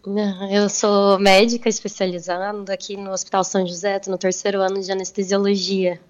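A woman's voice: medium pitch, flat delivery, a little fast, smooth, highs cut off. A young woman from central Brazil gives informal interview answers as a guest over a remote connection. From Goiás, central Brazil. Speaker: medical resident, interview guest. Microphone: remote call.

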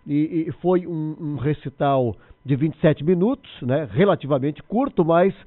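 A man's voice: fairly high pitch, lively intonation, a little slow, no room sound. A man hosting a radio show, interviewing a guest with effusive praise. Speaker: radio host. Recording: tinny dry radio sound.

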